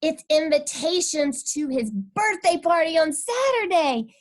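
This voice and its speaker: A woman voicing loud, eager little schoolgirls bragging in a children's storybook reading. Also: high-pitched, expressive, unhurried, pitched above the performer's own voice. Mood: excited. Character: excited little girls, hollering school friends. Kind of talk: children's storybook read aloud.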